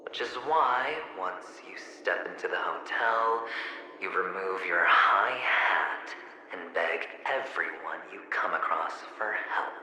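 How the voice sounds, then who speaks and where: A man's voice: low-pitched, bright, forceful tone, heavy reverb, big-room sound, full character voice, poor audio. An actor playing a smug young male gambler in a video game cutscene, taunting in a mocking, teasing drawl.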